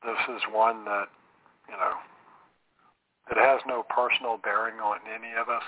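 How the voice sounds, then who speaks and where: A man hosting a paranormal talk show, speaking in a measured, hesitant way. His voice is husky and sounds thin, as if it comes through a phone line. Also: fairly low pitch. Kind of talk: casual podcast chat. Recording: tinny phone-like audio.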